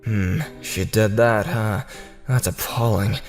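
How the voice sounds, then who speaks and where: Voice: medium pitch, fairly even intonation, slow pace, over loud music, voice pushed down. A narrator voicing a stern, indignant father, firm and reproachful, in a manga dub. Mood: disgusted. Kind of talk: manga dub character acting.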